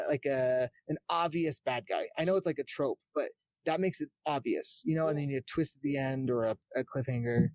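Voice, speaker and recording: Higher-voiced man in a dry, close recording. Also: darkish tone, dry recording. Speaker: podcast guest. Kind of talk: casual chat about games and films.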